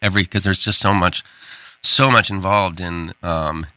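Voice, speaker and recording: Chatty lower-voiced man, co-hosting. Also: fairly even intonation, normal speed, bright, forceful tone, no room sound. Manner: chatty, stumbles over words. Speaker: podcast co-host. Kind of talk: casual podcast chat.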